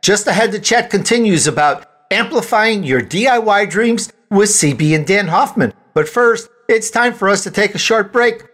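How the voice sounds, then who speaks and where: High-pitched male podcast host. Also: lively intonation, normal speed, hardly any pauses, a bit bright. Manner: chatty salesman delivery with rhetorical questions. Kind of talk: interview hosting and scripted ad reading.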